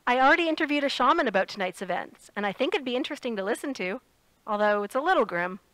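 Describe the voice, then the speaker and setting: A woman's voice: on the high side, lively intonation, fast talker, fairly bright tone, dry recording, low-quality recording. An actress playing a spirited, playful and earnest woman radio correspondent phoning in her report in an audio drama. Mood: helpful.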